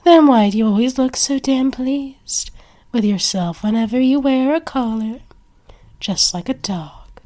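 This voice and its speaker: Casting: a woman as a yandere vampire for ASMR roleplay, higher voice, very unhurried, haughty, slipping into whispers. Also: warm tone. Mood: smug.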